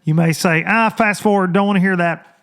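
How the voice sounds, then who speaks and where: Hoarse, rambling podcast host man. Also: high voice, steady tone, brisk, a bit bright. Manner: loose, rambling, talks to listeners as y'all. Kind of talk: casual podcast monologue. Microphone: studio microphone.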